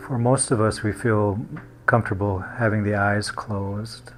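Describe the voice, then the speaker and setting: Low, even-toned man guiding a meditation. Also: soft background music. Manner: gentle, unhurried, with long pauses between short phrases. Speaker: meditation guide. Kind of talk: guided meditation.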